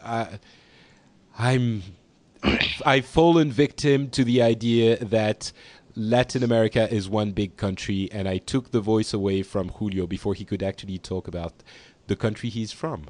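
A man's voice: fairly low pitch, fairly even intonation, a little slow, a little mellow, no echo. A man hosting a casual group podcast, hesitant and prone to restarts and laughter. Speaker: podcast host.

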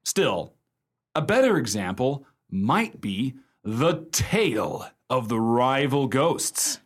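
A man's voice: medium pitch, sing-song, slow pace, slightly rough, full character voice. An actor playing a jovial, wry American man spinning tales for friends in a radio play, playfully theatrical. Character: wry, jovial American male raconteur telling friends a ghost story.

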